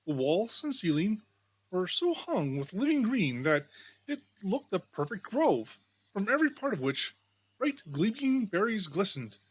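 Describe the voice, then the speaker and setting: A woman storybook reader giving scripted, expressive audiobook narration of a classic tale. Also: medium pitch, a little slow, husky, low-quality recording.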